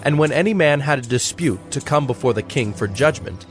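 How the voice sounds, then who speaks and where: A man narrating a dramatized audio Bible in a solemn, measured storytelling manner. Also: medium pitch, animated, faint music behind.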